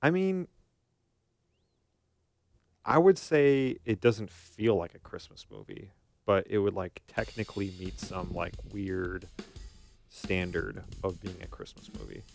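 A man's voice: on the low side, takes it slowly, creaky, pauses often, faint music behind. A man co-hosting a podcast, chatting casually with a wry sense of humor. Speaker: podcast co-host. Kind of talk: casual chat between co-hosts.

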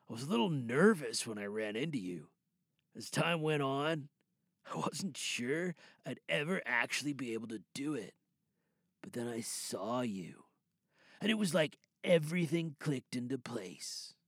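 A man playing a calm, creepy surfer-dude serial killer in an unhurried, intimate audio drama monologue. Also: medium pitch, no room sound, studio quality, pitched below the performer's own voice, full character voice.

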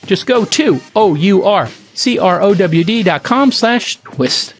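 Over faint music, a high-voiced man delivers a scripted sponsor read. His intonation swings widely, and the delivery is promotional and emphatic, in the manner of a podcast host. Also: animated, measured pace.

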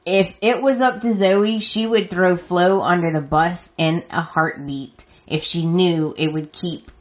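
A woman's voice: on the low side, dull audio. A woman hosting a solo recap podcast, talking emphatically and addressing the characters directly while her voice turns scratchy from losing it. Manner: emphatic, talks straight to the characters. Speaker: podcast host.

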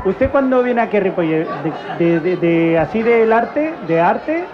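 A man's voice: high voice, lively intonation, a little slow. A man hosting a live radio show at an outdoor fair, playfully feigning confusion and repeating his guest's words. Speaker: radio host. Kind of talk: live radio comedy banter. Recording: lo-fi live recording with crowd. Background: crowd noise.

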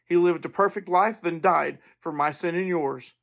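Earnest, higher-voiced male podcast host. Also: moderate pace, vocal fry, a bit bright. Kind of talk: informal podcast monologue with recommendations.

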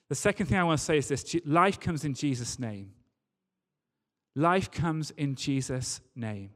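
A man preaching a church sermon in an earnest, emphatic way, repeating key phrases. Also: a little high. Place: large reverberant church or hall. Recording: long hall reverb.

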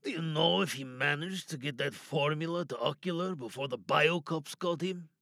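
A man playing a cynical, wary captive scientist in an audio drama monologue, sardonic and probing, bargaining with quiet menace. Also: fairly high pitch, moderate pace, no room sound, studio quality. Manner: sardonic, probing, rhetorical questions.

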